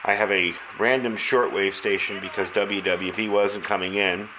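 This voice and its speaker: A man's voice: fairly low pitch, fairly even intonation, moderate pace, noisy background. A man with an American accent giving an informal, hands-on product demonstration, talking through each step as he goes. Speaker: radio hobbyist reviewing gear. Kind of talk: casual product review and demonstration.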